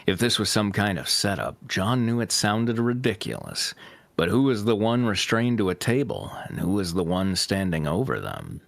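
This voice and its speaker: A man's voice: fairly low pitch, big pitch swings, moderate pace, creaky, dry recording. A man reading a story aloud and chatting casually and jokingly with fellow panel members.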